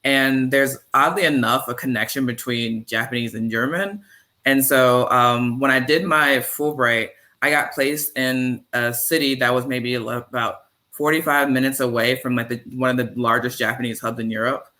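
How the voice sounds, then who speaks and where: Young male panelist, bright and forceful delivery, casual and rambling. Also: medium pitch, moderate pace. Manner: relaxed, filler-heavy storytelling. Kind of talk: panel discussion.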